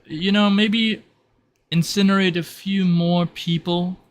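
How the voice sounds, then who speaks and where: A man's voice: high voice, steady tone, slow, slight background noise, voice pushed up. Man voicing a coy, theatrical demon spirit. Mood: wryly advising. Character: flamboyant, coy male demon spirit, wryly friendly.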